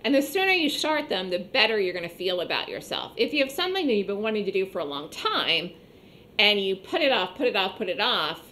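A woman with a slightly hoarse voice teaching an online trading class, explaining step by step and repeating points conversationally. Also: mid-range voice, very animated, brisk, bright, forceful tone, slight background noise. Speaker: trading instructor. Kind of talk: online trading webinar lecture.

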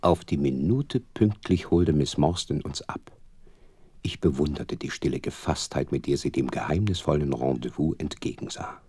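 An actor playing a sentimental, courteous gentleman companion who narrates a detective radio drama in a gravelly, husky voice. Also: rumbling low, moderate pace. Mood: narrating.

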